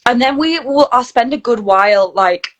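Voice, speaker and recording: A woman's voice: fairly high pitch, animated, normal speed, a bit bright. A British woman talking casually as an interview guest, explaining her work in a chatty way and often acting out bits of dialogue. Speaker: guest, voice reel studio founder and actor.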